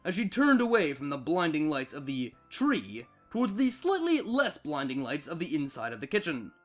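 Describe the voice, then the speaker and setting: High-voiced man reading a story. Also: wildly swooping pitch, normal speed, soft background music. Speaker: fan fiction narrator. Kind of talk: expressive scripted story narration.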